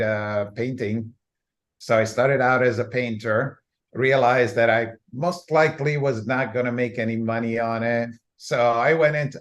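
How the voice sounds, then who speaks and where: A middle-aged man from Holland and Italy, a guest in a live online interview over a video call, relaxed and hesitant with many uhs. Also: medium pitch. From born in Holland, grew up in Italy.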